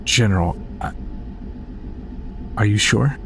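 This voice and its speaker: An actor playing an impatient, hotheaded young soldier in an audio drama. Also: male voice, lowish voice, slow pace, gravelly, a bit bright, a little hiss or hum, exaggerated voice. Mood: subdued.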